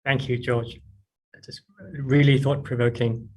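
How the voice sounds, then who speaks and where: A man moderating an online panel, brief and friendly but a little halting, on a video call. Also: medium pitch, little pitch movement. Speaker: session moderator. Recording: distant from the mic.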